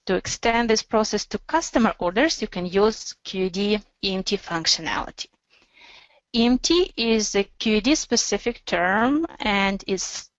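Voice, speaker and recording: A woman narrating an instructional software demo as a webinar presenter, explaining in a measured, step-by-step way. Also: mid-range voice, no echo, dull audio.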